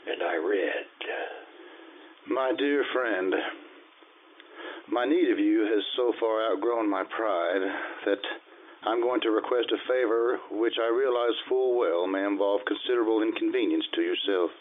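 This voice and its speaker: A man playing a solemn, formal gentleman narrator in a 1940s radio drama, with tinny old radio sound. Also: lowish voice. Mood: pleading, grave. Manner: formal, measured.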